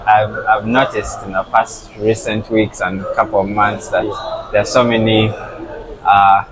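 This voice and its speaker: Male interview host, lower pitch, noisy background, quick affirmations. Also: level tone. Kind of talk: interview hosting.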